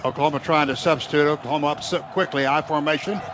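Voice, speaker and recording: A muffled, noisy radio broadcast of an American man doing football play-by-play. His voice sits fairly high, and he reports the action in short clipped phrases that climb as plays develop. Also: fast. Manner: clipped running description, rising into excited calls on big plays. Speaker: radio play-by-play announcer for the Oklahoma team. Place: outdoor football stadium broadcast.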